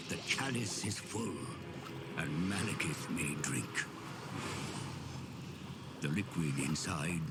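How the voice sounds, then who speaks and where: A man voicing a grim, ominous narrator of dark fantasy game cutscenes, gravelly and theatrical, with breathy phrase endings. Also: low-pitched, full character voice. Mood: grave, menacing. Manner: slow, theatrical, portentous. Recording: loud music under the voice.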